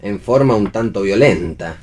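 An Argentine actor playing a wry, bantering husband, fond but sceptical, in a radio play reading. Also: male voice, fairly low pitch, animated, normal speed, a little mellow. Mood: dry, ironic.

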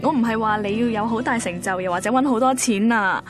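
A woman's voice: fairly high pitch, quick pace, natural voice. An actress playing a caring, demanding yet tender young girlfriend in a radio drama, speaking Hong Kong Cantonese. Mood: challenging. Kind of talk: radio drama acting and first-person narration. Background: music.

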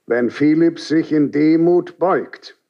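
An actor playing an aged, unyielding Grand Inquisitor in a classical German radio drama, speaking in a severe, measured, declamatory and reproachful way. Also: male voice, on the high side. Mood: cold, solemn. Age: older. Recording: dull 1970s radio drama recording.

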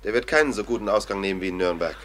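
An actor playing a brisk, skeptical police lieutenant in a radio play, giving curt orders and questions. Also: male voice, on the low side, a bit bright, natural voice. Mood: brusque.

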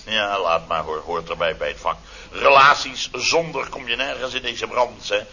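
A man's voice: lowish voice, normal speed, bright, forceful tone, slightly rough, exaggerated voice. An actor playing a flustered, self-important male boss in a Dutch radio comedy, stammering through pompous, wordy phrases. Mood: indignant. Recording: dull, faintly noisy vintage radio recording.

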